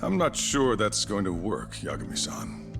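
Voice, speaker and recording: An actor in an English game dub playing a shrewd, unruffled senior official, speaking in a measured, formal, detached way. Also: male voice, lowish voice, wildly swooping pitch, creaky, exaggerated voice. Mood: cool, calculating. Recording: voice over loud music.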